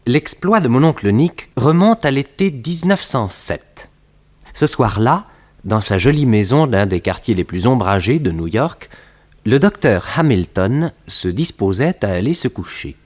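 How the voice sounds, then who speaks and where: A wry storyteller narrates a sixties French radio detective serial. His mid-pitched voice moves in a lively, expressive way through the scripted tale. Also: measured pace. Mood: storytelling. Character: nephew narrating his detective uncle's exploits.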